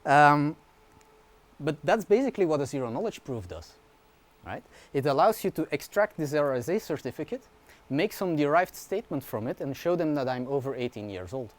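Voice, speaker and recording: A man, a university researcher giving a relaxed conference talk, often checking in with the audience. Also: on the high side, moderate pace. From Belgium. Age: 20s. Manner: casual, informal explaining with frequent "yeah?" and "right?" check-ins; fillers and restarts, light humor.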